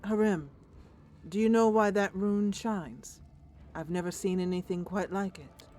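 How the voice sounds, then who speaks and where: A woman's voice: medium pitch, a little slow, dark tone, close to an everyday voice. A woman voicing a curious, hopeful female adventurer in a fantasy roleplay, thoughtful and earnest.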